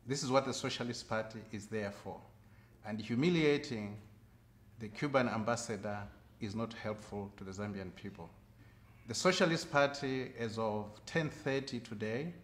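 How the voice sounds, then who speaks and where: A man speaking for a political party, giving a measured, firm press statement. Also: medium pitch.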